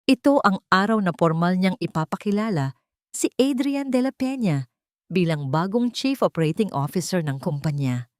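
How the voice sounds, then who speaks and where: Woman narrator, low voice, steady dramatic storytelling, dry close recording. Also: normal speed, no echo. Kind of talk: scripted audiobook narration.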